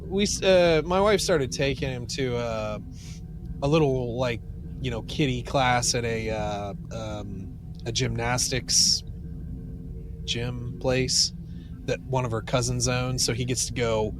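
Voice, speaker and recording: Wry, halting man over clear background noise. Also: medium pitch. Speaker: podcast co-host. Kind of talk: casual chat. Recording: clearly noisy ambient background.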